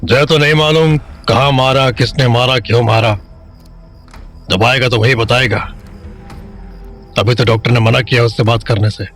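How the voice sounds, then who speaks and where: A gruff middle-aged father from a small town, voiced by a man. His pitch sits at an everyday middle level and his speech is blunt and tired. Faint music plays underneath. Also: fairly even intonation, moderate pace, long pauses, a bit bright, natural voice. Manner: blunt, rustic, weary. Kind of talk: audio drama acting.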